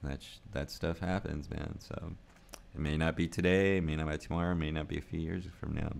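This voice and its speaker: Forties male vlogger, very deep gravelly voice, loose rambling delivery with frequent laughs. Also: fairly even intonation, a little fast. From United States. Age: 40s. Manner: rambling, musing, laughs often. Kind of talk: casual unscripted vlog talk.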